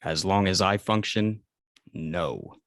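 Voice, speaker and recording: A man playing a stoic, protective alien robot guardian in a table read, speaking formally and in measured phrases. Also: sits low, fairly bright tone, no echo, studio quality, heavily acted. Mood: grave.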